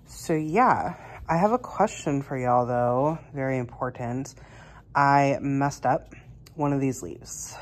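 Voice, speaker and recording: A woman's voice: very deep voice, darkish tone. A young woman, slightly congested and recovering from illness, chatting casually to camera as a cross-stitch vlogger, rambling with many fillers.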